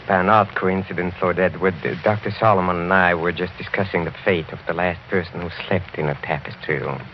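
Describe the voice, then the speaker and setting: A muffled old radio recording with faint noise carries a man playing a sinister count. He is low-voiced and urbane, with a jovial mockery under his courtesy. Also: steady tone, fairly quick, close to an everyday voice. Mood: mocking, urbane. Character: mocking, suave count, sinister uncle and host. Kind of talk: radio horror drama acting.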